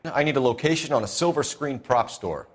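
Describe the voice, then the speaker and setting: An actor playing a stern male police detective in a 1940s noir video game, pressing with cool, steady questions. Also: mid-range voice, fairly quick, slight background noise, natural voice. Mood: probing.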